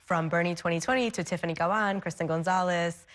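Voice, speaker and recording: A woman from Ecuador with a fairly low voice answers questions in a news interview. She speaks eagerly and chattily, restarting her sentences and filling pauses as she goes. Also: fairly even intonation, fairly bright tone. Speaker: state legislator, interview guest.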